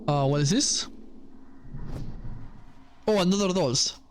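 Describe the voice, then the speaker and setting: A man's voice: high voice, takes it slowly, slight background noise. A man playing a visual novel, reading its text aloud as he goes. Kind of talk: game let's play, reading dialogue aloud.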